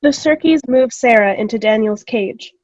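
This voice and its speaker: Muffled, dry call audio carries a woman reader. Her voice is a little high as she narrates scripted stage directions for a play. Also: moderate pace, a little mellow, no room sound. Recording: dull video-call audio.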